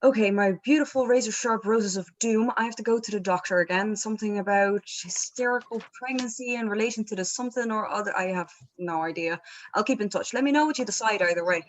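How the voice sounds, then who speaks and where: A woman's voice: medium pitch, quick pace, close to an everyday voice. A woman in a comedy table read playing a breezy, affectedly sweet, harried character on a phone call.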